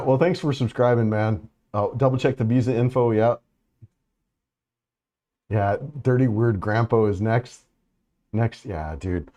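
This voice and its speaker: A man's voice: mid-range voice, mellow. Easygoing man chatting on a live stream. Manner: rambling, many restarts and fillers, easygoing. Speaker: vlogger hosting a live chat. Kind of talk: casual live-stream chat reading comments.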